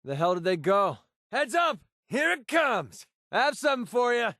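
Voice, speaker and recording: A man's voice: medium pitch, lively intonation, moderate pace, no echo, clean studio sound, close to an everyday voice. A male actor playing a cocky, guarded young mercenary sharpshooter in a video game, with casual, wry, slangy delivery. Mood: shouting, combative. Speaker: voice actor for a game companion character. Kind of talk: video game voice lines, combat barks and confessional dialogue.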